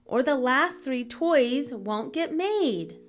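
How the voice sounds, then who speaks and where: A woman voicing a helpful, excitable little robot friend in a children's storybook read-aloud. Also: high voice, slow. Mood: earnest, explaining.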